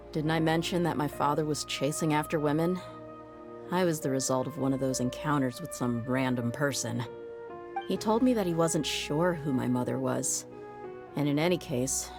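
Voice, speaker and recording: Game cast: low-pitched, blunt, easygoing young female mercenary. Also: moderate pace, over music. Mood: steady. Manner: easygoing, blunt, matter-of-fact. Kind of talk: game voice acting, story dialogue.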